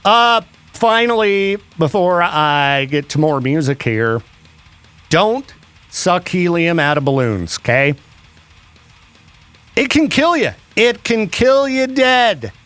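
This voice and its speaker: A man hosting a radio show, chatting and joking in a lively, animated way. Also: high-pitched, takes it slowly, smooth, faint music behind. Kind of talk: casual radio banter.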